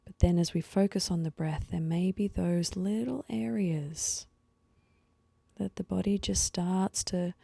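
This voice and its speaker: A woman leading a guided meditation with slow, soothing instructions and pauses, her voice soft and at times breathy. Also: on the low side, fairly even intonation, no echo.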